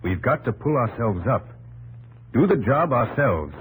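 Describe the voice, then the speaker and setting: An actor playing a vigorous, idealistic young newspaper editor in a 1950s radio drama, speaking in a resolute, oratorical way. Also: male voice, mid-range voice, big pitch swings, a little slow. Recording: noisy old radio recording.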